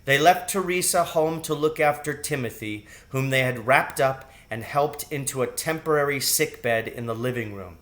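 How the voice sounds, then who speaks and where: A man reads a children's storybook aloud as an audiobook narrator. His voice sits at a medium pitch and he takes the scripted prose slowly. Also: fairly bright tone. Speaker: audiobook reader.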